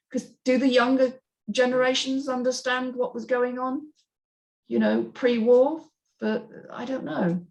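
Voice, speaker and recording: A woman's voice: on the high side, rough recording. British woman leading a panel discussion. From Britain. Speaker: host of a script reading panel.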